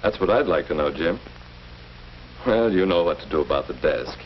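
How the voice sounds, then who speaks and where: Calm firm school principal, low voice, expressive intonation, avuncular, formal, muffled old film soundtrack. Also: male voice, moderate pace, a little hiss or hum. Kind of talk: 1940s educational film acting.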